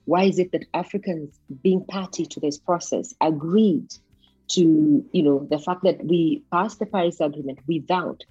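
A woman hosting a podcast, presenting and interviewing in a clear-worded, structured way. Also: low-pitched, normal speed, mellow, highs cut off, soft background music. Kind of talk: scripted podcast introduction and interview.

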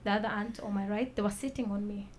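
A woman telling her own story as a talk-show guest, soft-spoken and measured, pausing with fillers. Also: mid-range voice, steady tone, slight background noise. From Kenya. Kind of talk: personal testimony in a talk show.

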